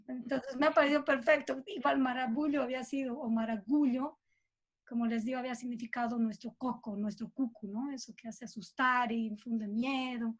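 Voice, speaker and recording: A woman with a Bolivian Spanish accent, talking conversationally as an interview guest about her own creative work, often checking in with tag questions. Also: sits high. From Cochabamba, Bolivia. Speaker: guest composer.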